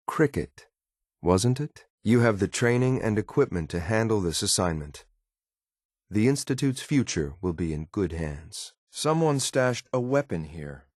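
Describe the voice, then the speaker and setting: A man voicing a stern, dutiful synth enforcer in a sci-fi video game, speaking in a controlled, clipped, formal deadpan. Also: low-pitched, no echo, clean studio sound. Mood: probing, unhurried, then cool, measured.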